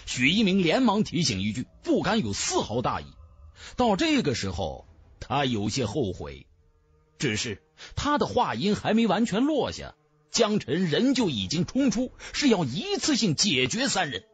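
A man's voice: a little high, huge pitch swings, moderate pace, slightly rough, dry recording. A man narrating a fantasy novel in a theatrical, emphatic style, as a scripted audiobook.

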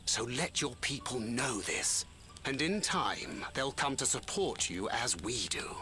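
An actor playing a supportive young adventurer in a video game, sincere, plain-spoken and encouraging. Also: male voice, mid-range voice, big pitch swings, a little slow, pushed and bright. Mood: warmly persuasive. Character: candid, supportive young male adventurer, steady mentor. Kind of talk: video game cutscene acting. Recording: background music under dialogue.

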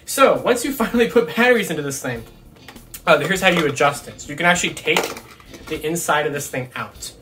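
A man's voice: a little high, animated, fairly quick, music in the background. A young man chatting casually to camera as he reviews a toy, rambling and thinking aloud. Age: young adult. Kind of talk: casual unboxing chat to camera. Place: small room at home, indoors.

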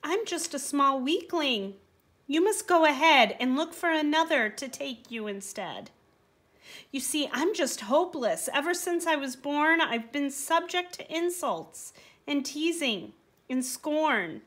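A woman's voice: on the high side, big pitch swings, takes it slowly, few pauses, husky, full character voice. A woman voicing a shy, frightened young male donkey who talks in a pleading, timid way.

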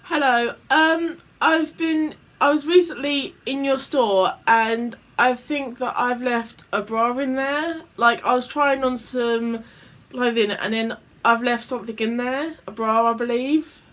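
High-voiced young woman, southeast English accent. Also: steady tone, a little slow, a bit bright. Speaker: vlogger making prank calls. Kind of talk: casual chat. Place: small bedroom, indoors. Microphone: camera a short distance away. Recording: faint background room noise.

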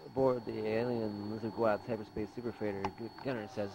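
A man's voice: on the low side, flat delivery, a little fast, background noise. Man narrating a homemade sci-fi story.

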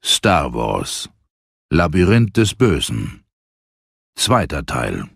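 A man's voice: a bass voice, wildly swooping pitch, very slow, gravelly, slightly rough, dry recording, clean studio sound, soft background music. A man reading scripted dramatic narration as a story narrator.

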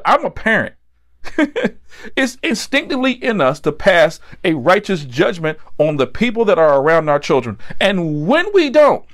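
A middle-aged American man preaches in a voice pitched a little higher than most men's. His sermon delivery is blunt and admonishing. He leans on repetition to press each point. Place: indoor set with backdrop, standing at a table.

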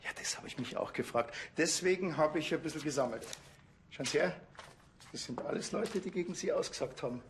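An actor playing a sly, unflappable police officer with a Bavarian accent and a deadpan manner in a TV drama. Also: male voice, fairly high pitch, fairly quick, background noise, natural voice. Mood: smug, accusing.

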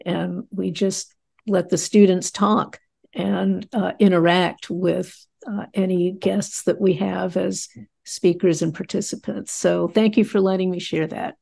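A woman instructor describing her class in a measured way, with frequent fillers. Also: lowish voice, level tone, gravelly, dark tone. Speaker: course instructor. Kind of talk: casual workshop remarks.

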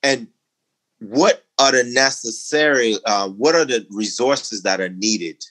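A middle-aged man from the Bahamas with a Bahamian accent, a guest in a conversational interview, talking emphatically and climbing in pitch when he makes a point. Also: takes it slowly, a bit bright, poor audio. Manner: emphatic, rising to a preaching lilt when making a point.

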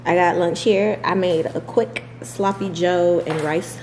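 A woman's voice: fairly low pitch, steady tone, a little slow. Chatty woman vlogging in echoey room. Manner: chatty, laughs often, talks to her dogs. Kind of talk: casual vlog chat to camera. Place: large bright living room at home. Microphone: camera on a table close by. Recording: echoey room with clear background noise.

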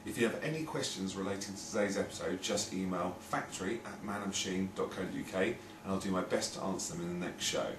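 A young British man presenting a software tutorial, explaining informally step by step in a husky voice. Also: low-pitched, fast talker, hardly any pauses, background noise. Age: young adult.

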